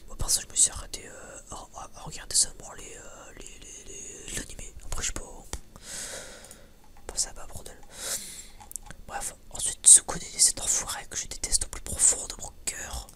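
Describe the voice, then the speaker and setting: Whispering man, hesitant ASMR ramble. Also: moderate pace. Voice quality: breathy, unvoiced whisper. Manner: hesitant and rambling, many false starts. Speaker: ASMR video creator. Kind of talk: whispered ASMR review, casual and unscripted.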